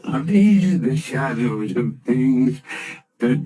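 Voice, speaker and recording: An actor playing a frightened old miser who pleads with a ghost in trembling, often whispered lines, in old-time radio drama style. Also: male voice, mid-range voice, animated, slow, dark tone, faint music behind, rough recording. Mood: fearful pleading. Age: older. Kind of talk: 1940s radio acting.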